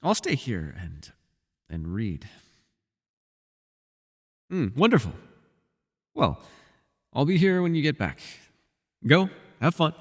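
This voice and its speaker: A man's voice: medium pitch, huge pitch swings, slow pace, pauses often, in a large hall, heavily acted. A man playing a bookish, witty but guarded elf in a fantasy ASMR roleplay, speaking in a wry, wordy, formal way. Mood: wry, playful. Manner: wry, wordy and formal, flustered when pressed.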